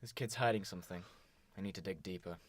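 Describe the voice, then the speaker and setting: A man's voice: deep voice, fast, dry recording. A man playing a brusque, suspicious police inspector in an amateur radio drama, businesslike and probing. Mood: musing, suspicious. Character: brusque, suspicious male police inspector questioning circus performers. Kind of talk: amateur 1920s-set radio drama acting.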